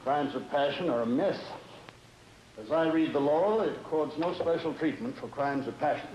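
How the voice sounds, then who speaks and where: An actor playing a stern, formal judge and earnest husband, speaking precisely in an old-style suspense drama. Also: male voice, fairly high pitch, moderate pace, a little mellow, highs cut off, a little hiss or hum, natural voice. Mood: composed.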